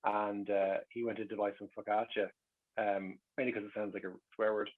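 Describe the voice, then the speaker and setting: A man's voice: fairly low pitch, level tone, fairly quick, narrow, tinny audio. A middle-aged man with an Irish accent telling anecdotes in a casual, conversational way. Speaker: guest.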